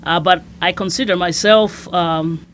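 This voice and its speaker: Soft-spoken woman from Zimbabwe, a nun. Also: on the low side, steady tone, a little slow, a little hiss or hum. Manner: soft-spoken, hesitant with many fillers and restarts. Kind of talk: conversational interview.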